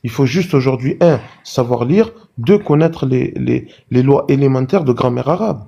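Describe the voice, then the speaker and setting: A man's voice: mid-range voice, lively intonation, normal speed, vocal fry, mellow, muffled recording. A man arguing insistently in a heated online debate, lecturing the others. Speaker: debate participant.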